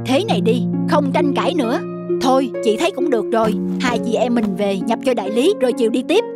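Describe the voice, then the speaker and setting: Woman acting high-pitched, excitable young cartoon working woman. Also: fast, natural voice. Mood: earnest. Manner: emotive, exclamatory. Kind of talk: animated cartoon dubbing. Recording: loud music under the dialogue. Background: loud music.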